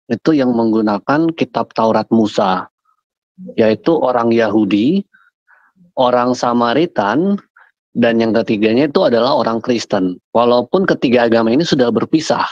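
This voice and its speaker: A man's voice: medium pitch, moderate pace, no echo. A man on a video call, patiently questioning a woman in a casual debate and often addressing her politely. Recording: dull lo-fi call audio.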